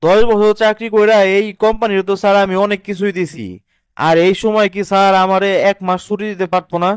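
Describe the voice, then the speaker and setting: A protective, principled young cartoon husband acted by a man, with a high, smooth voice. He talks fast and earnestly in colloquial Bangladeshi speech, explaining and lecturing. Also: flat delivery, dry recording, studio quality, lightly acted. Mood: earnest, pleading. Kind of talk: cartoon drama dialogue.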